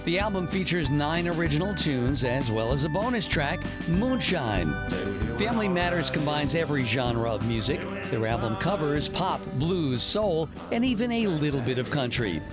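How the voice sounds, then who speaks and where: A man's voice: fairly high pitch, animated, moderate pace. A man reading a scripted promotional radio spot as an announcer. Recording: produced spot with guitar music bed. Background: guitar music.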